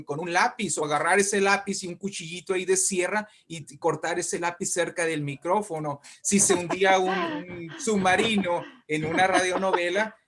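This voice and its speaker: A man's voice: sits high, fairly bright tone. A man with a Latin American Spanish accent explaining things conversationally, often repeating words as he searches for the next. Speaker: writer discussing radio drama history.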